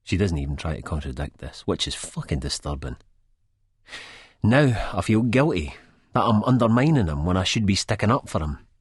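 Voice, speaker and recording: A man's voice: deep voice, sing-song, normal speed, husky, no echo, studio quality, exaggerated voice. A man with a Scottish accent playing a wry, reflective, disillusioned first-person narrator in an audiobook. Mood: uneasy. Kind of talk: first-person literary narration, character acting.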